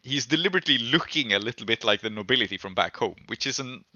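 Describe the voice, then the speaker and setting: A man running a tabletop game as game master, improvising narration in casual, halting speech as he thinks aloud. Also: mid-range voice, animated, bright, forceful tone. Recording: echoey room, muffled dull audio.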